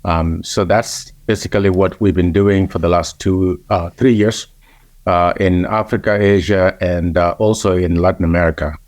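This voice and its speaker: A middle-aged man from Kenya, speaking as an interview guest in a measured way with frequent pauses. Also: low voice, fairly even intonation, darkish tone, no room sound. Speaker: interview guest, organization president. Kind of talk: conversational interview.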